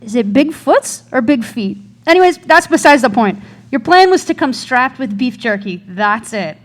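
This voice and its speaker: A young woman playing a chatty female host of a tall-tale interview show in a radio play. Her voice sits high as she questions guests in scripted banter. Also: sing-song, moderate pace. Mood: surprised, curious. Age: young adult. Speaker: actor in student radio play.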